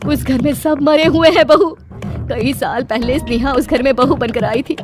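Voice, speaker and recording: Stern mother-in-law, woman voiced, firm and scolding, fairly high voice, music behind. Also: moderate pace, close to an everyday voice. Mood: grave. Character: stern older mother-in-law, protective and superstitious. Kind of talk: cartoon voice acting.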